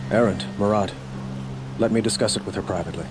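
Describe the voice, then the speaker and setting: A man's voice: on the low side, quick pace, background noise, natural voice. A man playing a cautious, diplomatic king in a video game, speaking formally. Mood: cautious, courteous. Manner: formal, considered.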